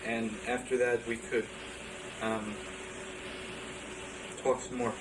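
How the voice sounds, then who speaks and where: A man playing an awkward, earnest, morbid oddball making a halting, hesitant video-dating pitch. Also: little pitch movement, natural voice. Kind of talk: comic video-dating monologue. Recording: distant mic, clear background noise.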